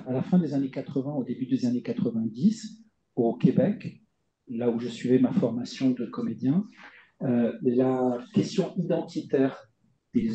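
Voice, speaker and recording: A middle-aged man answering interview questions at length, halting with many fillers and repeated words. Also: medium pitch, mellow. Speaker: playwright guest. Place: stage event before audience. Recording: dull lo-fi roomy recording.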